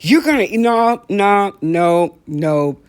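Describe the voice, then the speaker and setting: A middle-aged American woman talks as if to friends, in a medium-pitched voice. She is blunt and animated, using colloquial phrasing. She rants comedically and repeats phrases to drive a point home. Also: takes it slowly, clear, resonant tone. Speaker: talk show host. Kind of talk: casual comedic rant with storytelling.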